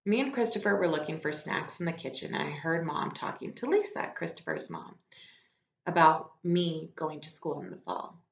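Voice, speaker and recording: A woman reading a story aloud like a teacher to students, expressive and informal, with casual asides. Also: low-pitched, normal speed, slight background noise. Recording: echoey room, slightly dull lo-fi sound.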